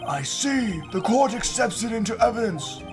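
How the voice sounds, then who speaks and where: An elderly judge as a man acts him out, pitched strikingly high. He is mild, formal and measured, presiding over a video game courtroom. Music plays behind him. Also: animated. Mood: formal, unhurried. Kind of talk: video game fan dub.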